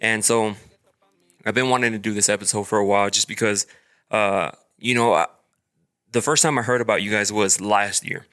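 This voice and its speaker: Low bright-toned man hosting casually. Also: fairly even intonation, normal speed. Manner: rambling, filler-heavy, friendly. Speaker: podcast host. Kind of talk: casual interview chat.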